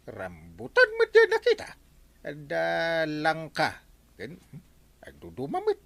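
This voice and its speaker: A man playing a teasing, excitable village captain in a comedic Ilocano radio drama, with an Ilocano accent and lots of exclaiming. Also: fairly high pitch, a little slow, creaky, no room sound, exaggerated voice. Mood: teasing, amused. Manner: animated, given to exclaiming and chuckling.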